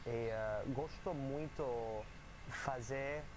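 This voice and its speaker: Slow, halting man, noisy clip. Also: medium pitch. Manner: hesitant, halting, searching for words. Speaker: language learner. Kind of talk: casual conversation. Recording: noisy clip from another video.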